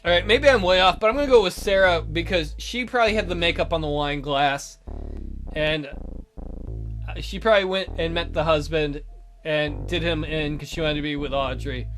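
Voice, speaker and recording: A man's voice: a little high, moderate pace, fairly bright tone, soft background music. A man hosting a let's play with casual, sweary, self-deprecating commentary.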